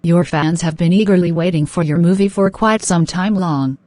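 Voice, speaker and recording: A woman plays a polite and eager young woman in an educational cartoon. Her voice sits a little low for a woman. The lines are scripted and deliberate, recorded very dry with no room sound. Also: mellow, dry recording, lightly acted. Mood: polite, interested. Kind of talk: scripted English-learning dialogue.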